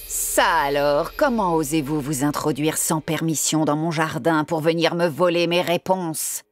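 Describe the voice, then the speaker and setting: Menacing fairy-tale witch, played by a woman: unhurried, fairly low, haughty and bargaining. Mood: indignant, stern. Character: menacing, bargaining old witch, stern and possessive. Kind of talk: storybook audio drama acting.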